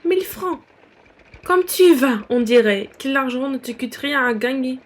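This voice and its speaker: A woman playing a haughty, spiteful bourgeois wife with a scornful, sharp-tongued manner in a French radio play. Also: sits high, expressive, unhurried. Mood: indignant.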